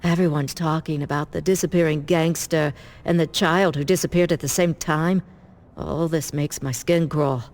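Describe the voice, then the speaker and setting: A woman's voice: sits low, fairly even intonation, normal speed, darkish tone, close to an everyday voice. A woman playing a polite, uneasy rookie night guard in a mystery game, speaking in a courteous, confiding way. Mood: uneasy, apologetic. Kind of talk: scripted game cutscene dialogue.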